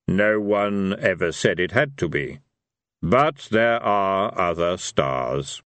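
Slow, low, grave figure of Death. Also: male voice, expressive, fairly bright tone, dry recording, exaggerated voice. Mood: solemn, detached. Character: Death, solemn otherworldly male reaper, cryptic and unhurried. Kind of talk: audiobook character voice.

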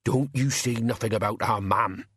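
A man voicing a huge, slow-witted, childlike thug with a big booming voice and a simple manner. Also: a bit bright, husky, no room sound, clean studio sound. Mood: sulky insistence. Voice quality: deep, booming.

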